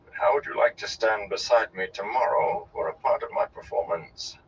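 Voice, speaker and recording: A man voicing a flamboyant, haughty gleeman in an audiobook, with a theatrical, drawn-out and declamatory delivery. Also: animated, far from the mic, muffled recording, slight background noise. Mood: charming, flattering. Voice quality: deep, resonant. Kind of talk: audiobook character voice.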